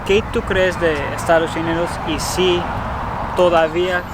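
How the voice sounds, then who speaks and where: A man's voice: high-pitched, little pitch movement, unhurried. Easygoing young American guy by traffic. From United States. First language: English. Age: 20s. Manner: laid-back, often says "you know", Spanish a second language. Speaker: interview guest.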